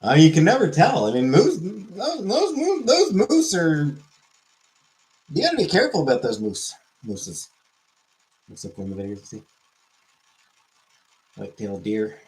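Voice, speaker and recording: A man chatting casually on his stream, jumping from one joke to the next. His pitch swings widely, and he keeps it loose and rambling. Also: huge pitch swings, moderate pace, long pauses, darkish tone, poor audio. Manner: rambling, jokey, reads chat aloud. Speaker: livestream host. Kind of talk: casual chat.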